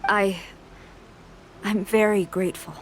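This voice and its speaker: A woman's voice: medium pitch, steady tone, slow, noisy background. A woman voicing a stern, dignified military commander in a video game, speaking formally and with command. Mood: gracious, resigned.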